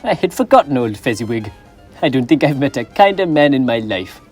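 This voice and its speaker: A young woman on a school stage playing a gruff, defensive old miser who stammers and pleads. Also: a bass voice, big pitch swings, brisk, a little mellow, faint music behind. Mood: earnest. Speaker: stage actor. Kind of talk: school stage play acting.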